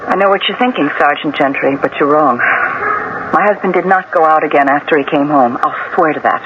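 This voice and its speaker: An actress playing a guarded, defensive wife in a 1970s radio drama, giving measured, hesitant answers. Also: female voice, low voice, close to an everyday voice. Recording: noisy, muffled vintage radio recording.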